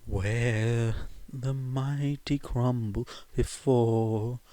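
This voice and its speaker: A man voicing a defiant young male revolutionary leader in a fan fiction audiobook, with grandiose, drawn-out, theatrical delivery. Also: mid-range voice, steady tone, dark tone, no room sound. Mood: defiant singing.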